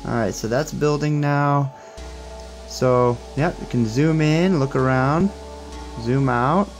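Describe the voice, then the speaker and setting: A man's voice: medium pitch, slow, faint music behind. A young man giving a casual hands-on tech demo in an upbeat, approving manner. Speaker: tech reviewer.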